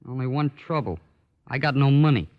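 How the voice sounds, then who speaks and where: A man's voice: medium pitch, moderate pace, close to an everyday voice. An actor playing a defiant, streetwise teenage runaway boy with slangy, sullen bravado in an old-time radio drama. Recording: dull, lo-fi old radio recording.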